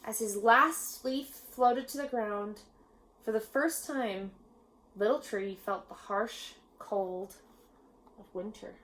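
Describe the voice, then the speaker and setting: Slow-paced woman reading a children's story. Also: medium pitch, low-quality recording. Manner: measured, expressive storytelling with pauses. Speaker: storybook reader. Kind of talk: children's read-aloud narration.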